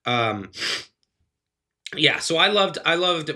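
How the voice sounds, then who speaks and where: A man's voice: on the high side, lively intonation, slow pace, sharp tone. A man reviewing in a casual, analytical way, his voice a little phlegmy from allergies. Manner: analytical, earnest, talks in loose run-on sentences. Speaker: wrestling reviewer, show host.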